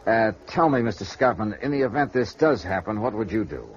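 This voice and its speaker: Actor as a dry-witted hardboiled investigator, lively delivery, muffled old radio sound. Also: male voice, fairly low pitch, fairly quick, natural voice. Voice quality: dry, wry, easygoing. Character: wry, tough adult male insurance investigator. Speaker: insurance investigator and narrator. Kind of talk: 1950s radio noir narration. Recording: dull old radio broadcast.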